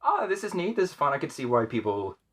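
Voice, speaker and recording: A man co-hosting a casual fan chat, speaking in a hesitant, measured and agreeable way. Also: on the high side, very animated, fairly bright tone, husky. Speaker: podcast co-host.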